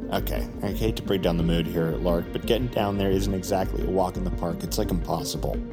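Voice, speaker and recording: An actor playing a sarcastic, anxious captive in an audio drama, halting and repeating himself in exasperation. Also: male voice, low voice, fairly even intonation. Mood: sarcastic, uneasy. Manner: halting, repetitive, exasperated, sardonic. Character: sarcastic, anxious male captive plotting escape. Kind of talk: naturalistic audio fiction acting. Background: prominent music.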